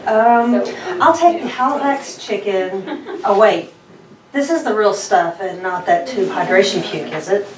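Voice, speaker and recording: A woman's voice: mid-range voice, lively intonation, measured pace, a crowd in the background, close to an everyday voice. In an echoey room, a bit far from the mic, a woman plays a spirited young female space ranger. She is defiant and argumentative, in a retro space serial read from a script. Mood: eager. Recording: roomy, distant mic, chattering group behind.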